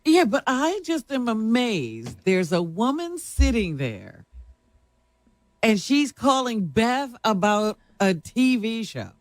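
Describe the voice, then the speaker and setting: Slow, expressive woman radio co-host. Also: a little high, no echo. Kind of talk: conversational on-air reaction.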